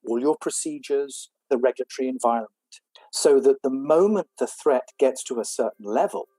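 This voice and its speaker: A man, head of a vaccine program, explaining plans in a recorded interview clip in a measured way. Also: mid-range voice, dark tone, slightly rough, no room sound, rough recording.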